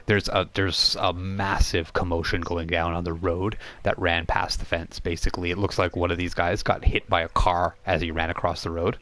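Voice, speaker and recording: A man narrating a tabletop role-playing game as game master, describing scenes in a halting, improvised way. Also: deep voice, level tone, a bit bright.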